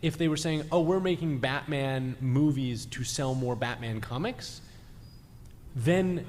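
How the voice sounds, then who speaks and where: A man's voice: mid-range voice, measured pace, darkish tone. A man speaking as a guest on a live panel, arguing his points and checking in as he goes. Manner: argumentative, checks in with "right?". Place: large convention room. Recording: echoey hall, clearly noisy.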